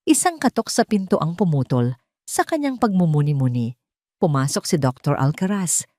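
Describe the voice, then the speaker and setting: A woman's voice: low voice, very animated, moderate pace, mellow, dry recording, studio quality. A woman reading a scripted romantic drama as a storyteller, with dramatic narration.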